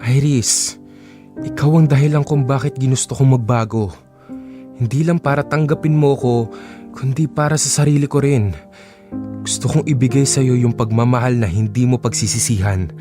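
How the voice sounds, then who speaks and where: A man's voice: medium pitch, fairly even intonation, normal speed, over music, lightly acted. A man acting a remorseful young ex-playboy who earnestly pleads for his love in an audio drama.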